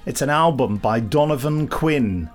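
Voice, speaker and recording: A man's voice: mid-range voice, expressive, unhurried, faint music behind. A British man co-hosting a podcast in casual chat, with a dry, wry sense of humour. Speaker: podcast co-host.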